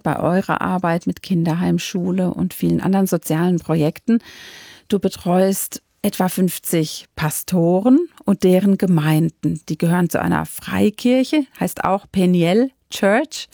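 A woman interviewing a guest, asking calm, clear questions. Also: fairly low pitch, a little slow, dry recording, studio quality.

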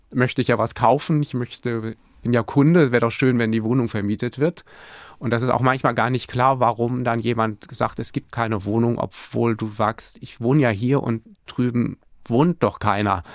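A man from Hamburg talks as an interview guest, in a middle-pitched voice. He thinks out loud, restarting sentences and pausing with fillers. The studio sound is close and dry. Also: no room sound. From Hamburg, Germany. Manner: thoughtful, many fillers and restarts. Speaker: church planter, interview guest. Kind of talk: radio interview answer.

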